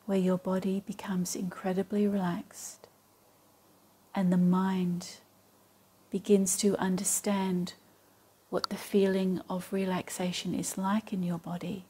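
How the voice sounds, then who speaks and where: A woman with a soft, breathy voice leading a guided meditation in a calm, measured way with long pauses. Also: on the low side. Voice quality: soft, breathy, partly whispery. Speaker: yoga nidra meditation guide. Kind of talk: guided meditation, slow and soothing instruction.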